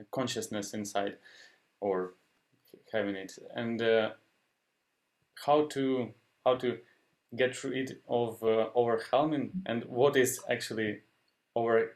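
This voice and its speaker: A man with a Ukrainian accent, a Ukrainian native speaker, talking in a halting way and often stopping to search for words. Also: mid-range voice, steady tone. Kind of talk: casual discussion.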